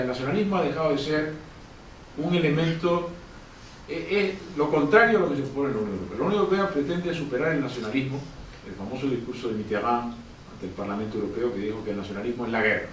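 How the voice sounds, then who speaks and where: A man answering questions at a book presentation, emphatic and repeating key phrases. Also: mid-range voice. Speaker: author and professor speaking at a book presentation. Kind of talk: public discussion, answering audience questions. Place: event room, distant mic. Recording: roomy, distant mic, faint noise.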